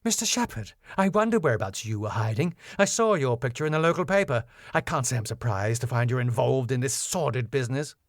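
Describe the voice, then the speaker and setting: A man's voice: medium pitch, expressive, a little fast, few pauses, no room sound, studio quality, lightly acted. A man voicing a raging, officious woman counselor with an indignant, imperious manner, in a dramatic script reading.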